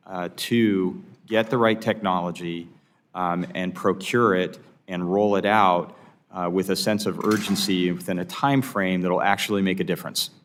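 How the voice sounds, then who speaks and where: An American man with a deep voice gives formal expert testimony. He chooses his words diplomatically, in measured, halting phrases. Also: slight background noise. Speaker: airport management expert testifying as witness.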